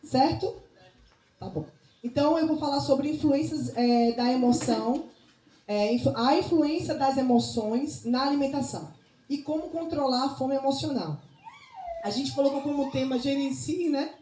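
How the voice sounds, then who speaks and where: A woman's voice: high voice, moderate pace, warm tone. A middle-aged woman with a Bahian Brazilian accent giving an informal, didactic talk, often checking that listeners agree. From Salvador, Bahia, Brazil. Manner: didactic, often seeking agreement with tag questions. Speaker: nutrition speaker and missionary. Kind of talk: informal public talk with religious touches. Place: indoor talk to a women's group. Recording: echoey room, faint background noise.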